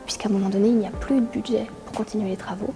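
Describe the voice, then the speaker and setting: A woman's voice: medium pitch, fairly even intonation, racing. A woman informally explaining some local history. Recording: clear music behind the voice.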